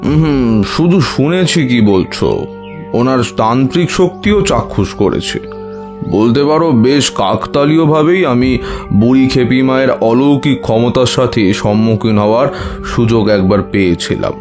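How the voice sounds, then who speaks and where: An actor playing a wise older tantric priest who recounts eerie memories in a measured way, with thoughtful hums, in an audio horror story. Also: male voice, medium pitch, animated, over music, close to an everyday voice. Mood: grave, knowing.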